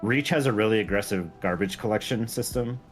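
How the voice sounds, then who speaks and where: A man's voice: lowish voice, brisk, soft background music. A man talking casually and enthusiastically on a gaming stream, explaining game details in a rambling way. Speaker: game mod creator guesting on a stream.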